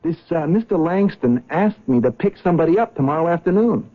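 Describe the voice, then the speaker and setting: An actor playing a nervous, talkative cab driver in a 1970s radio drama, hesitant and apologetic with many stammers. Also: male voice, a little high, expressive, fairly quick. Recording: dull old radio broadcast.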